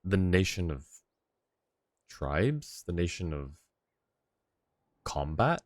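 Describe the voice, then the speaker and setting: Very deep-voiced man, playful streamer. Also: big pitch swings, slow, no room sound, clean studio sound. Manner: playful, teasing, chuckling. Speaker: game streamer. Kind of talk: casual live game commentary.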